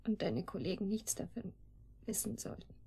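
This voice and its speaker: A woman playing a betrayed, pleading yet defiant lover in a radio drama, with breathy, emotional, fragmented delivery. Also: medium pitch, animated, moderate pace, warm tone. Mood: wistful, bitter. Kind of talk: German radio drama acting.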